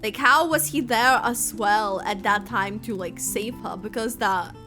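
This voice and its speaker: A woman giving casual, expressive live commentary on a video game, thinking aloud as she reacts. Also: medium pitch, measured pace, over music. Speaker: gamer reacting to story quest.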